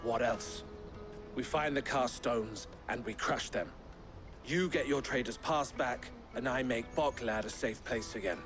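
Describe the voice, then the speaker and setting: A man's voice: mid-range voice, unhurried, music in the background, natural voice. An actor playing a self-assured, protective and plain-spoken swordsman in a video game, assertive and articulate. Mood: resolute.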